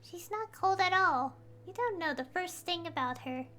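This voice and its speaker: A woman voicing a feisty, loyal small companion in a video game, quick to protest. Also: very high voice, expressive, pushed and bright, full character voice. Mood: indignant.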